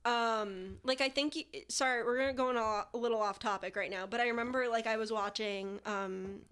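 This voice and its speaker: A woman in her early twenties talks as a podcast guest, chatty and full of fillers, livening up her stories as she tells them. Her voice sits a little high for a woman. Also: brisk, clean tone. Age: 20s. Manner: chatty, filler-heavy, animated retelling. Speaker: guest musician. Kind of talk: casual interview chat.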